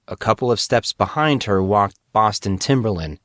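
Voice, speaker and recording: A man's voice: on the low side, moderate pace, no room sound, clean studio sound. A man reading scripted fiction as a dramatic audiobook narrator.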